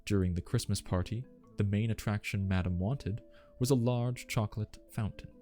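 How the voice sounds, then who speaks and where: Male actor as devoted butler, mellow, low, measured, formal, gentle audiobook delivery. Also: faint music behind, natural voice. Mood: admiring, composed. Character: genteel, devoted male butler reminiscing about household staff. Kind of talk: ASMR audiobook narration.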